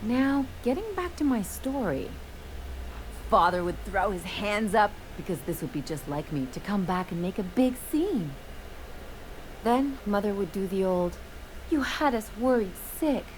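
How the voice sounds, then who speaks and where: An actress playing a brash, bitter young adventurer in a video game, with a sardonic, theatrical way of telling stories. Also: female voice, mid-range voice, expressive, a little slow, slight background noise, natural voice, rough recording. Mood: wry, dramatic. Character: brash young adventurer woman, bitter, dramatic, estranged from parents.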